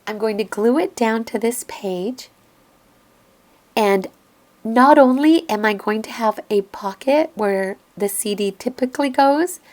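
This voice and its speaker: A woman explaining a craft project step by step in a calm voice-over, her voice slightly hoarse and raspy from a lingering cough. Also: a little high, measured pace. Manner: gentle step-by-step explaining, thinks aloud. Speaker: crafting tutorial host. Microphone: voice-over recorded apart from the video.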